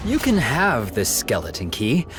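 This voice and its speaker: A man's voice: medium pitch, wildly swooping pitch, measured pace. An actor playing a boastful mouse thief in a video game, a man with a grandiose, sly, blustering delivery. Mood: wheedling. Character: boastful, theatrical male mouse thief, self-styled prince. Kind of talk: video game cutscene acting. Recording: game music behind the voice.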